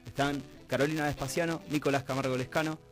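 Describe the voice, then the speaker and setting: A man's voice: mid-range voice, steady tone, fast. An Argentine man hosts a radio interview in a mid-range voice. He talks conversationally and reflectively, often breaking up long questions as he goes. Faint music plays behind him. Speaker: radio host interviewing guests. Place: radio studio.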